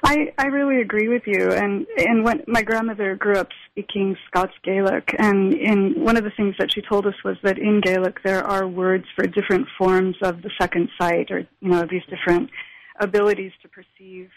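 A woman who is a spiritual practitioner and teacher, answering interview questions thoughtfully, with many pauses and restarts. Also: mid-range voice, steady tone, no room sound, rough recording. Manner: thoughtful, with frequent fillers and restarts.

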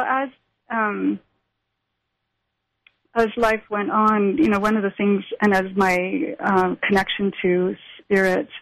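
A woman who is a spiritual practitioner and teacher, answering interview questions thoughtfully, with many pauses and restarts. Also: mid-range voice, no room sound, poor audio. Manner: thoughtful, with frequent fillers and restarts.

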